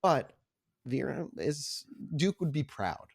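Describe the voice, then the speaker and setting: A man's voice: mid-range voice, sing-song, slow, gravelly, darkish tone, slightly rough. A man narrating a tabletop roleplaying game as game master in a conversational, descriptive way.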